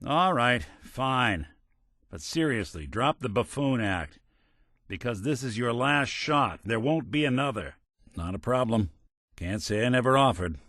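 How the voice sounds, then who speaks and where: A man's voice: mid-range voice, expressive, a little slow, fairly bright tone, no room sound, clean studio sound. A man voicing a weary, principled old robot detective in a video game, speaking in a wry, folksy, hard-boiled way. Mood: stern. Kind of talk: video game character dialogue.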